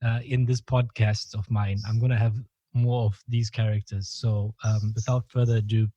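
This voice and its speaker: A man's voice: lowish voice, flat delivery, normal speed, mellow, no echo, lo-fi sound. A young man from Malawi hosting a podcast, chatting casually and laughing often between filler words. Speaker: podcast host. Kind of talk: casual interview chat.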